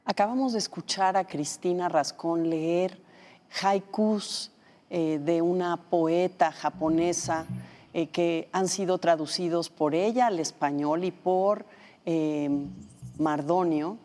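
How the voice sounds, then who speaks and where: A woman hosts a studio interview. Her voice is low and mellow, and it carries a long reverb. She prompts her guest with friendly questions full of restarts. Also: fairly even intonation, takes it slowly. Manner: warm prompting, hesitant restarts. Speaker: TV host.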